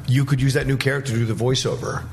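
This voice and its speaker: A man's voice: mid-range voice, steady tone, rapid-fire, gravelly, darkish tone, a little hiss or hum. A man speaking as a panelist on a movie talk show, making his point in casual discussion.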